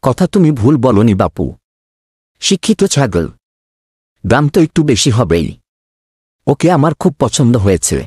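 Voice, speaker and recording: A man acts out a self-important, gullible village elder for a comedy cartoon. The voice is raspy and animated, full of pompous satisfaction, and recorded very dry. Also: mid-range voice, a little slow, long pauses, no echo. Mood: pleased, proud. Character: gullible, self-important older village uncle buying a goat.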